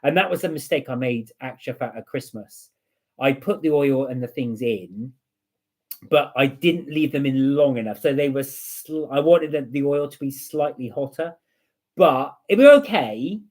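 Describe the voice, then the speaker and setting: A man chatting on a home live stream, working through viewers' comments one by one. He rambles in a relaxed, conversational way, full of ums and mid-sentence restarts, at an ordinary pitch. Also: moderate pace. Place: home room with a heater.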